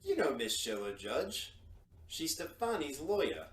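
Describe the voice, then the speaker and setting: A man's voice: on the high side, very animated, unhurried, reverberant. A man playing a sly, insolent secretary turned schemer in an old-time radio drama, smug and needling. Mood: oily, hesitant.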